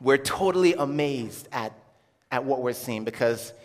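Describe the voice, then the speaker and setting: A man's voice: medium pitch, lively intonation, measured pace. A man giving an informal religious testimony to an audience, telling his story conversationally and often searching for words. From Jamaica, then Brooklyn, New York from childhood. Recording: echoey hall with long reverb.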